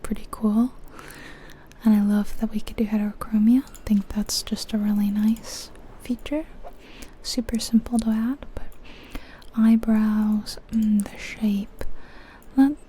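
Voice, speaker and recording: A woman gives casual ASMR game commentary in a soft, breathy whisper. She goes slowly and gently, thinking aloud with very little rise or fall in her delivery. There is faint noise behind her voice. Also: mid-range voice, flat delivery. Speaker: ASMR video creator, host.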